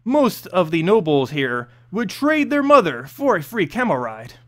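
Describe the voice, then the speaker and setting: A man narrating a fantasy story aloud in an expressive, dramatic, slightly halting reading. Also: high-pitched.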